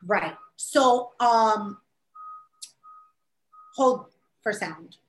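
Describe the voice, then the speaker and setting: A woman's voice: medium pitch, drawn-out words, a little hiss or hum. A woman from New York City with a Puerto Rican-tinged New York accent, talking casually and acting out quoted voices. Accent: New York Puerto Rican-influenced. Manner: animated, acts out quoted voices. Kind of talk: casual conversation. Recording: echoey room.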